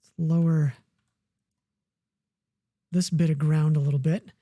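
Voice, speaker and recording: Low, slow-talking woman gamer. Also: no echo, studio quality. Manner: relaxed, muttering to herself while building. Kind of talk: casual let's-play commentary.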